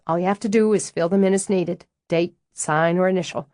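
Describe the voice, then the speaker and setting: A woman doing a brisk, clipped office manager. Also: lowish voice, fairly even intonation, a little mellow, no echo, natural voice. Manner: crisp, clipped, dry.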